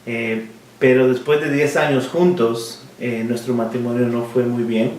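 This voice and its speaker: A man's voice: medium pitch, level tone, measured pace, a little mellow. Guatemalan-born man, roomy distant recording. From Guatemala, raised in Miami. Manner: thoughtful, searching for words, English words mixed in. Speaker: interviewee. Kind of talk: oral history interview. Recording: roomy, mic at a distance, faint noise.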